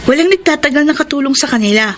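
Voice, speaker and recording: A high voice plays a caring young female friend in a radio drama. She sounds conversational and concerned, with noticeable background sound. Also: expressive, fast talker, slight background noise. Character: concerned young shop-worker woman, caring best friend. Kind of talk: Filipino radio drama acting.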